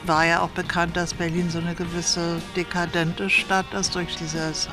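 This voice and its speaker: A woman's voice: lowish voice, flat delivery, unhurried, music in the background. A woman interviewee casually recalling old times. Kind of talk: casual reminiscing.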